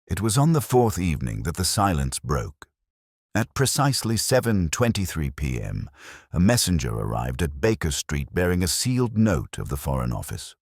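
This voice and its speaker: A man reading a scripted detective story as its narrator, in a measured, solemn, literary manner. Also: low-pitched, sing-song, no echo, clean studio sound. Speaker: audiobook narrator reading as Dr. Watson.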